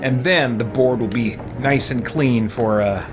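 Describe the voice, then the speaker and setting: A man's voice: mid-range voice, a little slow. A man giving casual, offhand gameplay commentary, thinking aloud in a rambling way as he plays. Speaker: video game let's play host. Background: game music.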